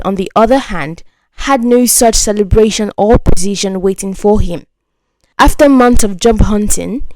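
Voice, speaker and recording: A woman's voice: medium pitch, a little mellow, no echo. A woman narrating a scripted story as a storyteller.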